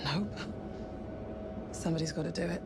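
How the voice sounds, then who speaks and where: An actress playing a wary, stubborn young crew member in a sci-fi horror game, with loud music behind her. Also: lowish voice, moderate pace, very noisy, natural voice. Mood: uneasy, wry. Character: wary, stubborn young woman colony-ship crew member, scared but pushing on.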